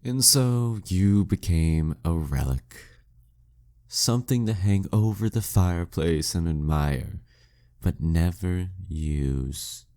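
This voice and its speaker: A man's voice: low-pitched, warm tone. A man playing a proud, dominant demon king in an audio roleplay, teasing and condescending in an intimate scripted monologue. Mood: mocking, teasing. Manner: slow, teasing, condescending, smugly theatrical. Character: dead male demon king, taunting, dominant, proud former nemesis.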